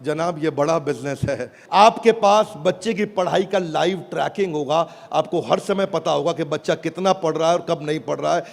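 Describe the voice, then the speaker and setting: A man giving an energetic product pitch on stage to a live audience, teasing and calling out to the crowd. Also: moderate pace, gravelly, hardly any pauses. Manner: showy, teasing, calls out to the crowd. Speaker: business presenter on stage. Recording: large hall with crowd and faint noise.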